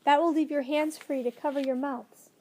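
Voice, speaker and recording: Storybook old professor, played by a woman: kindly, fussy, grandfatherly, exclamatory, high for the part. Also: fairly even intonation, normal speed, close to an everyday voice. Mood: hushed, careful. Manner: fervent, exclamatory, gently lecturing.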